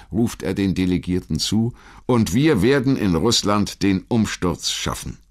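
Sehr tiefe Männerstimme als Erzähler. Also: male voice, low-pitched. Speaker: documentary narrator. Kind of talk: scripted historical narration.

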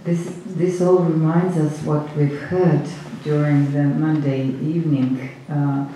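Panel moderator, slow and hesitant, far off in an echoey hall. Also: medium pitch, little pitch movement, warm tone, slight background noise. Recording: echoey hall, distant mic.

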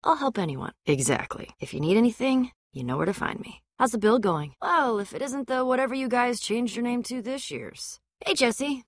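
Young female game adventurer, American accent, tough, sarcastic, self-assured, very expressive pitch, dry close sound. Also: dry recording. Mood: confident, wry. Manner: wry, brisk, confident. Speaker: video game voice actor. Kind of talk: animated adventure game dialogue.

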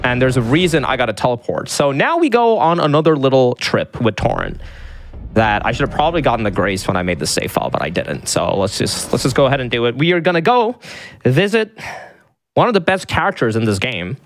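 A man in his twenties talks through his game run in a loose, chatty way, his pitch bouncing all over. He pokes fun at himself. A faint hiss sits under the voice. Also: brisk. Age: 20s. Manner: lively, chatty, self-mocking. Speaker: speedrunner. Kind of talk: casual commentary while playing.

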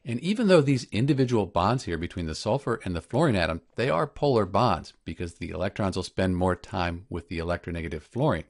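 A man's voice: deep voice, expressive, brisk, few pauses. A man narrating an explanatory science tutorial as a teacher, taking the listener through it step by step. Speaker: chemistry teacher, presenter.

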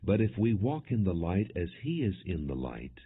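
A man narrating an audio Bible in a measured, solemn reading with deliberate phrasing. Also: low voice, sing-song, breathy edge. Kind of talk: non-dramatized Bible reading. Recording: muffled, phone-like narrow-band audio.

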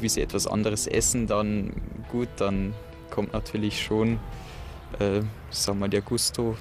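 Young guy chatting to interviewer, halting, pretty flat, music clearly behind. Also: on the low side. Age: young adult. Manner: hesitant, halting. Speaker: student experiment participant. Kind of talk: informal interview remarks.

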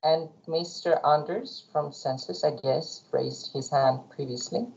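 A woman moderating an online webinar, calmly inviting participants to introduce themselves. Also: low voice, a little slow, creaky. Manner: calm, polite, guiding. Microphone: video call. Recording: muffled video-call audio.

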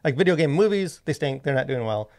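A man in his thirties co-hosting a casual podcast, talking in a halting, wordy way as he thinks aloud, close on a studio mic. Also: a little high, expressive, darkish tone. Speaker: podcast co-host. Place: small indoor podcast studio. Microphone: close boom-arm studio microphone.

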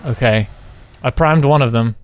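A man giving casual co-op gaming commentary, thinking aloud in short, halting phrases. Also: mid-range voice. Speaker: gaming video host, player.

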